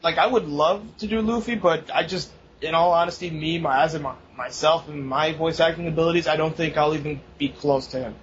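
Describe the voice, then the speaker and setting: A young man with a fairly high voice co-hosts a casual podcast, rambling and laughing. The recording is dull and lo-fi, with a faint hiss under it. He swears freely as he talks. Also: brisk, few pauses. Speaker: podcast co-host. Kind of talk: casual chat.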